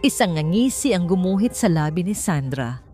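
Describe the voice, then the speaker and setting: Casting: female storyteller, lowish pitch, dramatic scripted romance narration over a music bed. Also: sing-song, moderate pace, mellow.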